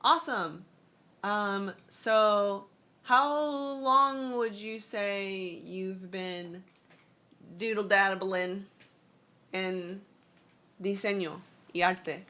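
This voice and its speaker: Slow, chatty woman streaming. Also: mid-range voice, bright, forceful tone. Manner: chatty, loose, reads and translates viewer text; halting non-native Spanish. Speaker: stream host. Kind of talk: casual livestream art critique chat.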